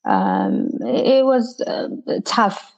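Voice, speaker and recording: A woman from Palestine with a Palestinian Arabic accent, answering as an interview guest in halting, conversational phrases. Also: fairly high pitch, wildly swooping pitch, creaky, a little mellow. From Palestine (Jerusalem). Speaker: podcast guest, nonprofit director and activist.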